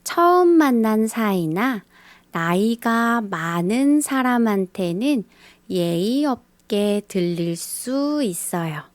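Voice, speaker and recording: A woman teaching a beginner language lesson, speaking in a slow scripted style and carefully repeating the example phrases. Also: a little high, lively intonation, no echo. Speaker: Korean teacher.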